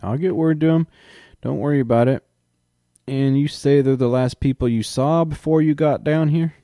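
A man voicing a calm, unhurried county sheriff with a drawl who speaks in a placating way. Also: on the high side, dry recording, clean studio sound, pitched above the performer's own voice, lightly acted. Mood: easygoing.

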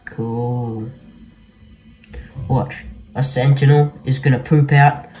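Slow-paced young male gamer over music. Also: medium pitch, fairly even intonation, dark tone, rough recording. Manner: laid-back, slangy, addressing viewers. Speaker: gaming video host. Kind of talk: casual game commentary. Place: small bedroom, indoors.

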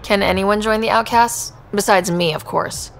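An actress playing a young, direct space explorer in a video game, with a casual, inquisitive, wry way of talking. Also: female voice, medium pitch, normal speed, gravelly, a little hiss or hum, close to an everyday voice. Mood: curious. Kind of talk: scripted game dialogue.

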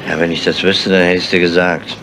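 Low-voiced gruff police inspector. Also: male voice, little pitch movement, a little fast. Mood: dry, matter-of-fact. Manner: gruff, dry. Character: gruff, weary, sardonic male police inspector. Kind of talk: 1970s German crime radio play acting. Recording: noisy ambience behind the voice.

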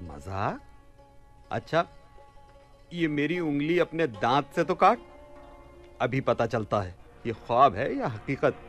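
An actor playing a bewildered young merchant taken for a caliph, with blustering, theatrical delivery in a radio play. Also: male voice, medium pitch, big pitch swings, slow pace, pauses often, a bit bright, soft background music. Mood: baffled. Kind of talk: radio play acting in theatrical Urdu-flavoured dialogue.

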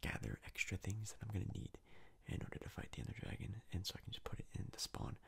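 A man whispers his way through ASMR game commentary in a low, very breathy and husky voice. Heavy gravelly fry runs through it. He rambles hesitantly, often doubling up on words. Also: very animated, fast, a bit bright. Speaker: gaming video host.

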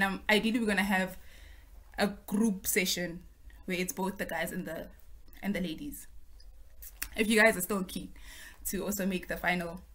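A woman in her twenties hosts a relaxed group chat over a video call, laying things out in an organized, friendly way. Her voice is bright and her pitch sits in the middle. She sounds like she is talking into a laptop mic from home. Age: 20s. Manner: friendly, organized, explains step by step. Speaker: host. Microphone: laptop or phone on video call.

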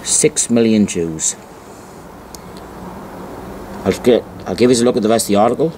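A man with a lowish voice presents informally, reading printed text aloud. He is deliberate and repeats phrases to stress them. The recording is homemade, with some light noise behind him. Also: dark tone, low-quality recording. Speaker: amateur video presenter. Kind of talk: informal show-and-tell narration, reading aloud from newspapers.

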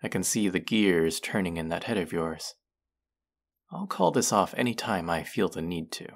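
A condescending young college man, played by a male actor in an audio roleplay, with a very deep voice edged in gravelly fry. He drawls with haughty, sardonic smugness, speaking straight to the listener. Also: lively intonation, moderate pace, heavily acted. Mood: mocking, bossy. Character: condescending, smug young male college rich-club hopeful.

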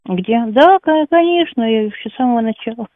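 A woman's voice: a little high, animated, a little fast, no room sound. Woman on a tinny phone line. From Donetsk, eastern Ukraine. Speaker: caller, schoolteacher of Russian. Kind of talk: unscripted phone conversation. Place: phone call.

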